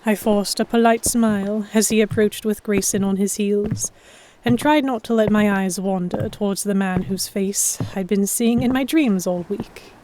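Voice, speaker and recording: A woman narrating a first-person historical romance story with careful, expressive, scripted delivery. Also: mid-range voice, normal speed, slight background noise. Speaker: narrator and lead heroine.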